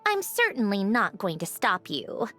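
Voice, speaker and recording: A woman voicing a haughty, sardonic mechanical young aristocrat in a video game, speaking in a crisp, dry manner. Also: mid-range voice, huge pitch swings, a little slow, sharp tone, soft background music, heavily acted. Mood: dismissive.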